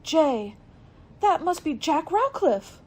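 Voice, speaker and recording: Woman playing an anxious, high-voiced loyal secretary. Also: very animated, slow pace, heavily acted. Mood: puzzled. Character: anxious, loyal young female secretary to a detective. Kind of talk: old-time radio mystery acting.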